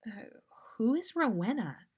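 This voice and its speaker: A woman's voice: fairly high pitch, very animated, measured pace, a little mellow, husky, exaggerated voice. A woman voicing a concerned, bewildered teenage girl with a questioning manner in a story reading.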